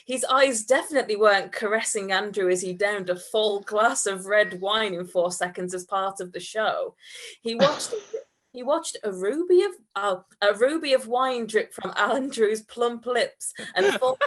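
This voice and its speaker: A woman's voice: medium pitch, normal speed. A woman reading comic fan fiction aloud in a hammy, giggly narrating manner. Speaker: reader at a comedy fundraiser stream.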